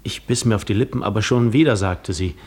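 Brooding wanderer in old 50s radio play, grave and gravelly, really low voice. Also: male voice, darkish tone. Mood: anguished, resigned. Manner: grave, measured first-person storytelling. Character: Odysseus, brooding male wanderer recounting a painful farewell. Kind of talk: 1950s German radio drama monologue.

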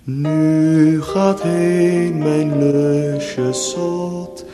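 Een droef, traag lied over muziek. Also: female voice, low-pitched, steady tone. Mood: mournful. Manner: slow, plaintive singing. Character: grown woman singing a mournful song. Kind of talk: sung song in a radio play. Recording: sung over instrumental music.

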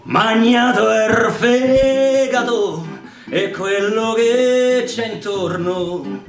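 A man with a Roman accent sings folk songs in a fairly high voice. The sound is echoey and a little distant, with guitar clearly accompanying him. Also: drawn-out words. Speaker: singer in a radio theatre show. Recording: echoey, somewhat distant from mic. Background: acoustic guitar music.

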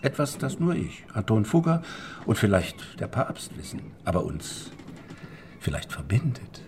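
Shrewd banker-forger, lowish voice, lively intonation, deliberate explaining, historical radio drama. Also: male voice, slight background noise. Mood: secretive. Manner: deliberate, explanatory, with pointed pauses.

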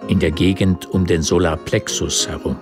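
A man's voice: low voice, fairly even intonation, moderate pace, over music. A man reading literary narration as the narrator of a German radio drama.

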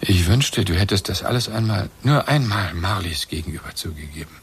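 An actor playing a bitter, reproachful middle-aged husband in a German radio play, agitated and accusing. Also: male voice, sits low, animated, fairly quick, a little hiss or hum. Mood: grave, accusing.

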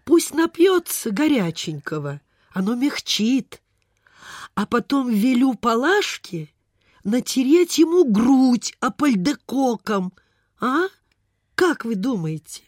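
Fussy old-time country lady, kind but bossy, highish voice, takes her time, radio drama. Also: very animated, slow pace, a little mellow, no room sound, clean studio sound, exaggerated voice. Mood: fretful, pitying. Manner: sighing, fretful, old-fashioned speech. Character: kindly, fussy, anxious provincial landlady, bossy with servants. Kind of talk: Soviet radio play acting.

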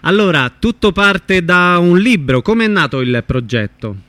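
An Italian man hosts a radio interview in a fairly high voice. He speaks conversationally, putting questions to his guests. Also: lively intonation, unhurried, bright, forceful tone, no echo. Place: radio programme.

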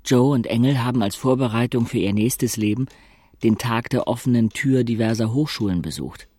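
An actor playing a wry young man who narrates his village life in an audio drama, dry and observant, with a gravelly voice. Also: medium pitch, normal speed, darkish tone, slight background noise, lightly acted.

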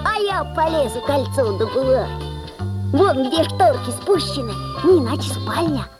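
A woman's voice: sits high, animated, a little slow, loud music behind the voice. A woman voicing a bold, bustling animal adventurer in a fairy-tale audio play. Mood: excited. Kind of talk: cartoon-like audio play acting.

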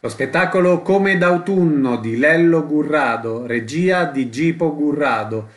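A man's voice: fairly high pitch, expressive, unhurried. A man presenting on radio, reading a scripted announcement.

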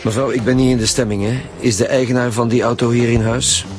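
An actor with a Flemish accent playing an impatient, blunt lead homicide detective in a radio drama. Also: male voice, mid-range voice, fairly even intonation, fast, music in the background, close to an everyday voice. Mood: stern, impatient. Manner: blunt, pressing.

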